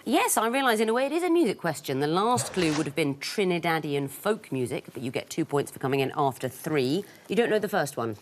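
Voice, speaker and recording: A woman's voice: low-pitched, big pitch swings, brisk, hardly any pauses, slight background noise. A woman hosting a television quiz show, reading clues and teasing contestants with dry wit. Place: television studio.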